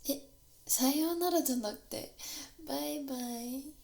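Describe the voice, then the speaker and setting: A woman with a Japanese accent playing a warm, gently teasing workshop teacher in an ASMR roleplay, soft-spoken and often slipping into breathy whispers, close to the microphone. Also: sits high, fast. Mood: playful, reassuring. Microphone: close binaural microphone.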